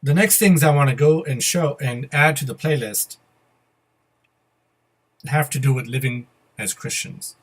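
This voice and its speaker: A man with a slightly husky voice presenting a step-by-step software tutorial in a casual, methodical way. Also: medium pitch, measured pace, pauses often. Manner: methodical, spelling out each step. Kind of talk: casual step-by-step screencast instruction. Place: voice-over to a computer screen recording.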